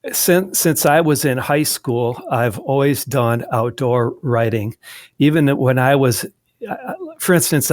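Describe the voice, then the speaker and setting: Middle-aged man, casual guest, mid-pitched, restarts a lot, dry close mic. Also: dry recording. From southwestern Wisconsin. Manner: rambling, frequent restarts and fillers. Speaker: guest, magazine editor. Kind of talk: casual interview conversation.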